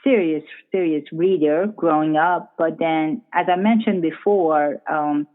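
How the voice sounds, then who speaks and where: A middle-aged woman from Iran, speaking English as a later language, talking hesitantly with many pauses as a guest author. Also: low-pitched, fairly even intonation. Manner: hesitant, many ums, laughs easily. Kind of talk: casual interview chat. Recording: tinny phone-like line.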